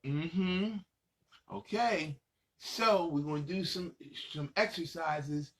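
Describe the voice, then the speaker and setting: A man's voice: a little high, takes it slowly, fairly bright tone. A man hosting a children's show, talking to kids in a playful, encouraging way with repetitive, sing-song phrasing. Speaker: children's storytime host. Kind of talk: children's show presenting, leading exercises.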